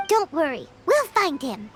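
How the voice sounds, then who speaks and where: A woman voicing a tiny, excitable, childlike sprite companion in a video game, squeaky and cutely exaggerated. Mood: reassuring. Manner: exaggerated, cute, drawn-out. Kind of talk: English video game dub acting. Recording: background music under the dialogue. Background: game music.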